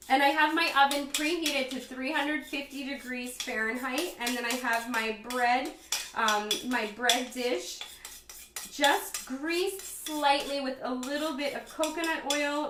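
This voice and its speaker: A slightly hoarse woman hosting a casual cooking demonstration, chatty and upbeat as she talks straight to viewers. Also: sits high, noisy background. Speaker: cooking show host and chef. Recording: roomy echo, distant microphone.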